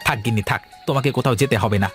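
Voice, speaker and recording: A man playing a gentle, henpecked village father in a fairy-tale cartoon, speaking in a mild, placating, weary way. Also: medium pitch, very fast, soft background music, lightly acted.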